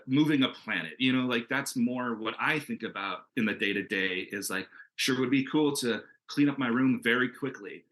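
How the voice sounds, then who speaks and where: A man's voice: medium pitch, a bit bright, poor audio. A man talking casually and enthusiastically as a comic book creator on a panel, rambling a little as he goes. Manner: rambling, enthusiastic, peppered with "like" and "you know". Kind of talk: casual, conversational panel discussion.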